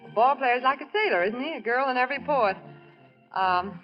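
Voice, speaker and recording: Coy, high-voiced young woman, played by an actress. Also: animated, brisk, close to an everyday voice. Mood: teasing. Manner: coy, playful. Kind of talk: 1940s radio acting. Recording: muffled old radio recording. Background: dance music.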